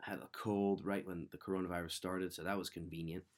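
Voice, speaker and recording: Low-voiced guy with a cold, kinda nasal, rambly podcast intro. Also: quick pace. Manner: relaxed, rambling, earnest. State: sniffly with a cold. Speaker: podcast host.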